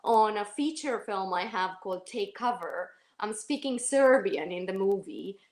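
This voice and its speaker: Actress, mid-pitched, reflective interview guest who backtracks often; roomy recording. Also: female voice, lively intonation, a little slow. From Romania. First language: Romanian. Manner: thoughtful, self-correcting, many fillers and restarts. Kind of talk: casual interview answers. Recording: echoey room.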